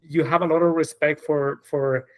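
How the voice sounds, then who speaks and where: A man's voice: on the high side, steady tone, moderate pace. A man in his forties from Spain, whose first language is Spanish, talking as a guest on a podcast about his path as a designer, reflective and loose, with many fillers and restarts. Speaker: guest, designer and creative director. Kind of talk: casual podcast conversation.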